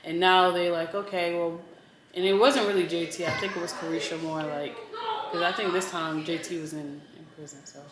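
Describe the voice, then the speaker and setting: A woman hosting a casual vlog chat, with a mellow voice and an animated, opinionated way of talking. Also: fairly low pitch, a little fast, background noise. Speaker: vlog host. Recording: echoey room.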